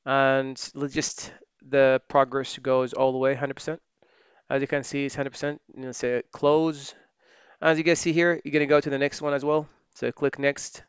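A man giving a screen-recorded software tutorial, thinking aloud as he types and often correcting himself. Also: mid-range voice, normal speed, smooth, no echo. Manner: relaxed, thinking aloud while typing, many fillers and self-corrections. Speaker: software trainer.

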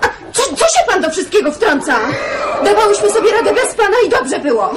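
A sharp-tongued young country woman in a radio play. Her high voice is very animated and emotional, recorded with clear background noise. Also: brisk, a loud crowd. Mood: irritated. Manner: prickly, emotional. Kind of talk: radio drama acting.

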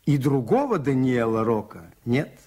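A calm, shrewd priest who investigates crimes in an old radio play. He speaks at a middle pitch, measured and polite, and holds his ground with quiet insistence. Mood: calm, insistent. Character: shrewd, calm, persistent male priest detective. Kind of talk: 1980s Soviet radio drama acting.

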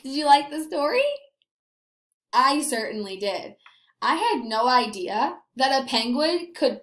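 A woman in her twenties teaching young children after a read-aloud, animated, encouraging and emphatic, recorded in a small room. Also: high voice, echoey room.

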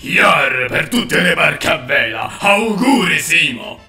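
A man giving a loud comic birthday greeting in an echoing recording. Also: fairly high pitch, very animated, slow, soft background music.

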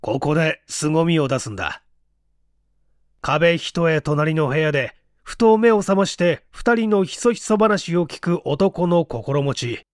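A man's voice: fairly high pitch, lively intonation, measured pace, no echo, studio quality. A man voicing an eager, self-satisfied male writer who explains his odd story idea at rambling length. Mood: absorbed, smug.

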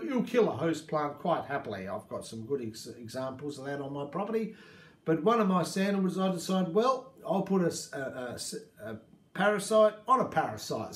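Echoey Australian man, rambling talk. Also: fairly high pitch. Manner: warm, rambling, gestures with hands. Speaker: native plant farmer. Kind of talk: casual talk to camera. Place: small indoor room before a banner. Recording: echoey room.

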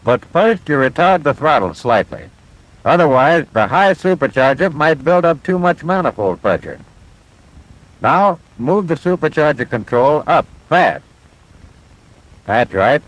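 A military flight instructor from a 1940s training film. His voice sits fairly high and swings animatedly in pitch. He explains step by step with patient confidence, heard on a vintage film soundtrack. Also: big pitch swings, a little slow, low-quality recording. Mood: patient, instructive. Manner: clear, methodical instructional delivery.

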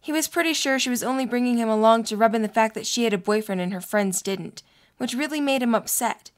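A woman's voice: fairly high pitch, quick pace. A woman reading scripted narration for a story.

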